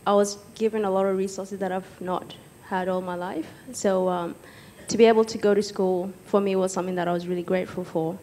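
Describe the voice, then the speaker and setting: A young woman from Egypt speaking hesitantly as a panellist on stage, pausing often, into a handheld microphone. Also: mid-range voice, fairly even intonation, warm tone, slight background noise. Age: young adult. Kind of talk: personal account in a panel discussion. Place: stage in an auditorium with audience.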